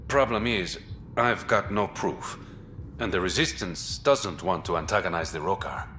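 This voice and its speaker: An actor playing a suave, roguish young smuggler in a video game, smooth, sly and teasing. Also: male voice, low voice, normal speed, sharp tone, slight background noise. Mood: serious, intent. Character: suave, roguish adult male smuggler and informant. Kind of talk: video game dialogue acting.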